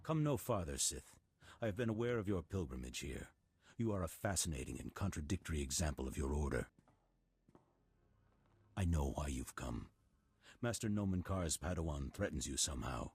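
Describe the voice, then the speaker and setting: An actor playing a stern, calm old Jedi master in a video game, husky and speaking in a measured, formal, solemn way. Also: male voice, heavily acted. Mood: stern, knowing. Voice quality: very deep, husky.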